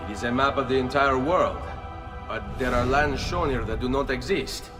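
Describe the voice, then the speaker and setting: An actor playing a grim, determined Italian assassin bent on revenge, solemn and measured, in a video game. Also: male voice, medium pitch, lightly acted. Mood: intrigued, urgent. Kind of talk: video game cutscene acting. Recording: long reverb, music behind.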